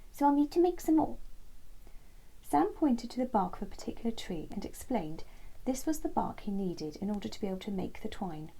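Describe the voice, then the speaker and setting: A woman storyteller narrating a scripted children's bedtime story in a gentle style. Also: mid-range voice, creaky, slightly rough, rough recording.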